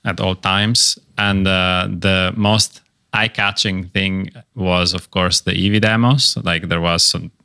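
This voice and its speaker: A man's voice: sits low, fairly even intonation, slow pace, sharp tone, smooth, no echo, studio quality. A man hosting a podcast in casual chat, talking with enthusiasm and rambling through many fillers. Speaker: podcast host.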